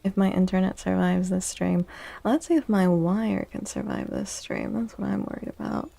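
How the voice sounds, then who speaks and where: A soft-spoken woman hosting an ASMR livestream, chatting casually and unhurriedly with viewers, close to the microphone. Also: medium pitch, fairly even intonation, normal speed, vocal fry. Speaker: live streamer hosting ASMR tarot stream.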